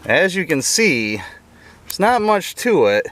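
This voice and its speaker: A man's voice: a little high, very animated, takes it slowly, a bit bright, dry recording. A man talking informally to viewers as he explains a hands-on car project, in a do-it-yourself vlog style. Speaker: car restoration vlogger. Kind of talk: casual do-it-yourself vlog narration.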